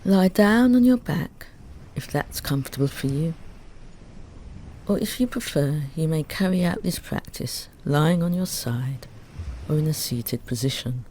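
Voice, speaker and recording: A meditation teacher gently guiding a Yoga Nidra relaxation, soothing and unhurried, with long pauses between phrases. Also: mid-range voice. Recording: steady ambient noise under the voice. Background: ocean waves.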